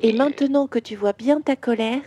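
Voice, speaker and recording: Woman as a caring little girl, light high voice, warm tone, patient and soothing, storybook. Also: moderate pace, slight background noise, close to an everyday voice. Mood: gentle. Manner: soft, patient, guiding. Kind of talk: children's audio story.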